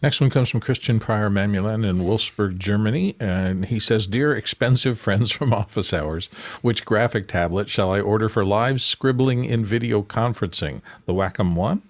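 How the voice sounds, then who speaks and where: Low-voiced man on a discussion panel. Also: normal speed. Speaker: panelist who reads out audience questions.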